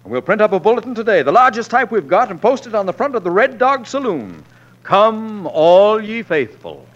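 A stubborn, fiery frontier newspaperman in an old radio western. His delivery swings widely in pitch, fond one way and defiant the other. The 1940s recording is muffled. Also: very animated, moderate pace, a little hiss or hum. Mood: rousing, declaiming.

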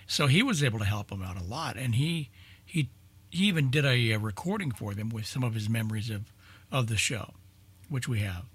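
A man with a husky voice chatting casually in a group, reminiscing in a halting way. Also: mid-range voice, lively intonation, fairly bright tone. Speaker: radio show panelist. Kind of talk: casual group chat about old-time radio.

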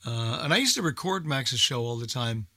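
Older American male for casual talk radio, emphatic and repetitive, forceful bright tone, close dry sound. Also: medium pitch, very animated, normal speed, dry recording. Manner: emphatic, repeats himself for emphasis. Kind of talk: casual group chat.

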